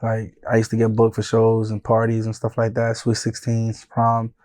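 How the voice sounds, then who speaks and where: A man in his thirties, born in Haiti and raised in Florida, telling his story as a music manager in a relaxed, rambling interview style. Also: on the low side, normal speed. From born in Haiti, raised in Broward County, Florida. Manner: laid-back, often says "you know what I'm saying".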